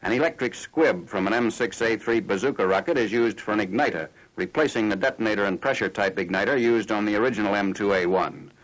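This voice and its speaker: An American man reading scripted 1940s military newsreel narration in a formal, factual, measured announcer style. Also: on the low side, a bit bright. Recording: noisy 1940s newsreel film soundtrack.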